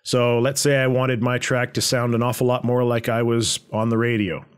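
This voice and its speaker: A man presenting a software tutorial in a conversational, explanatory way with a medium-pitched voice. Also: fairly even intonation, normal speed, clean tone. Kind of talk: informal software tutorial narration.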